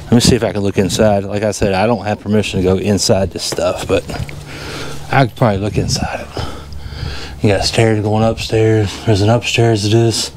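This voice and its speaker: A man's voice: lowish voice, darkish tone, slight background noise. A middle-aged man with a Southern US accent talks casually and in a folksy, easygoing way, narrating an outdoor vlog on an action camera. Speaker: metal detecting vlogger. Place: outdoors in open farm fields. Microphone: handheld or body-worn action camera.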